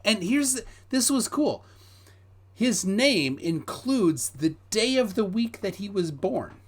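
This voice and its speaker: A man's voice: high-pitched, big pitch swings, slow. A man hosting a live stream, telling meandering stories full of fillers and reading viewer messages aloud. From United States. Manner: rambling storyteller, hesitant with many fillers, reads viewer messages. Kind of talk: casual chat.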